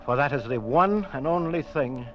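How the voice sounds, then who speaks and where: A man's voice: medium pitch, lively intonation, normal speed, soft background music, close to an everyday voice. An actor playing a defiant, remorseful man condemned for treason, earnest and dramatic in old-time radio style. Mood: solemn, remorseful. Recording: muffled old radio recording.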